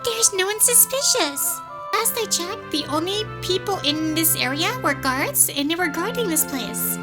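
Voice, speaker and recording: Girl voicing a young warrior queen in a fan dubbed game, really high, curious but determined, music under it. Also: expressive, a little slow. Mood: wary. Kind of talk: video game fan dub.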